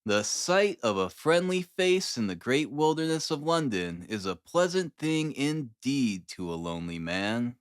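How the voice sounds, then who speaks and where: A man's voice: medium pitch, sing-song, a little slow, hardly any pauses, clean tone, no room sound, studio quality. A man narrating a classic novel in the first person as an audiobook.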